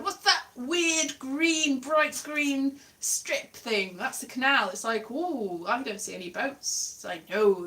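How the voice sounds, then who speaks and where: A woman's voice: medium pitch, a bit bright, distant mic. A woman from South Wales hosting a casual livestream, talking to viewers in a chatty, wandering, self-mocking way that often trails off and starts again. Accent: South Welsh, atypical. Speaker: livestream host. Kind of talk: casual rambling chat to viewers.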